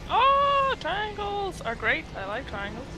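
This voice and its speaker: Lively-pitched woman chatting over a game. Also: slow, background noise. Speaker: co-player on a game stream. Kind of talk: casual chat during gameplay. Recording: music behind the voice. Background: game music.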